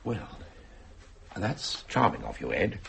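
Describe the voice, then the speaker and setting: An actor playing a sardonic, flippant husband who is resigned to being trapped, speaking in dry, wordy mockery in an audio thriller. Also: male voice, low voice, very animated, slow, vocal fry, a bit bright, breathy edge, exaggerated voice. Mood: dry, mocking. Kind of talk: dramatic dialogue acting, audio thriller.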